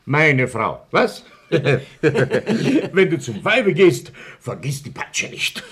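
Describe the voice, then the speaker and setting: A middle-aged small-town party boss in a radio play. He has a husky voice that swoops widely in pitch. His speech is pompous and patronizing, that of someone used to bossing others. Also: sing-song, drawn-out words, fairly bright tone, full character voice. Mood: patronizing, bullying. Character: blustering, domineering middle-aged male town councillor and party functionary.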